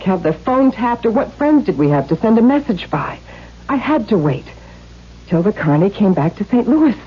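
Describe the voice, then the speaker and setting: A woman's voice: medium pitch, slightly rough. An actress playing a bold, protective elder sister in her thirties in a 1970s radio drama, urgent and coaxing. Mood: urgent, determined. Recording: dull vintage radio recording, music behind.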